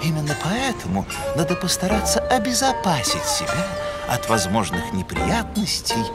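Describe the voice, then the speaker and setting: A man voicing a pompous male elk scientist who lectures his friends in a lofty tone, over loud cartoon music. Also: fairly high pitch, big pitch swings, normal speed.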